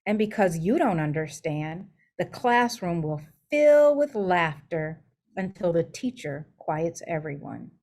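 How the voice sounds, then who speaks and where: Slow-paced woman reading a storybook aloud. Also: lowish voice, very animated, a little mellow. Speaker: school superintendent reading a picture book aloud. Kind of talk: expressive storybook read-aloud.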